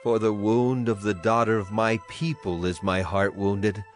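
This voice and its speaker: A man's voice: on the low side, fairly even intonation, a little slow, lightly acted. A man acting a solemn prophet who speaks for a grieving, wrathful God in a dramatized scripture reading, declamatory in delivery. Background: music behind the voice.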